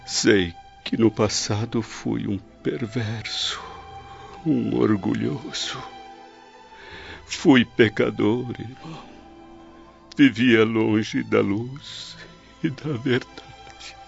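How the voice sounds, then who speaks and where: A dying older Roman tribune in a radio drama, humble and full of remorse. The words come slowly and haltingly, weak and crackling with fry. Music plays under the dull recording. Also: male voice, on the low side, heavily acted. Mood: remorseful confession. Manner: solemn, halting, pleading. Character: dying repentant Roman nobleman, older man, humble and devout. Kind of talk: old radio drama acting.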